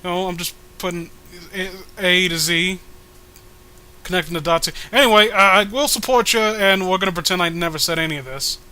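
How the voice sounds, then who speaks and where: A man with a high, sharp-edged voice riffs casually as he co-hosts a read-along. He rants in exasperation, swearing and halting as he goes. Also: clean tone. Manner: exasperated ranting, profane, halting. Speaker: co-host of a comic read-along. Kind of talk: casual comedic riffing and commentary.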